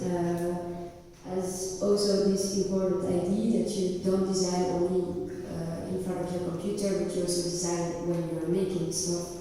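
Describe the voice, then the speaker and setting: A young French woman, an architect, gives a live lecture in halting English full of "uh" fillers. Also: on the low side, mellow, a little hiss or hum. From France. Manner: halting, many "uh" fillers, searching for words. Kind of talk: live lecture to a summer school. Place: large reverberant lecture hall. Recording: echoey hall, speaker far from the mic.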